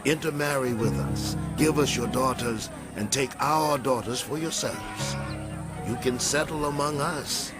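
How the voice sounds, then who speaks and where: A man's voice: medium pitch, measured pace, natural voice. A man acting a diplomatic father who rules an ancient city, speaking persuasively in a scripture audio drama. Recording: loud music under the voice.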